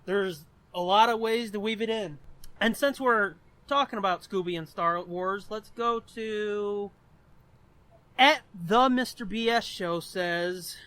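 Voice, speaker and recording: A man's voice: high-pitched, slow, bright, forceful tone, no room sound. A man co-hosting a podcast in casual pop-culture chat, with a blunt, informal, sweary way of talking. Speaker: podcast co-host.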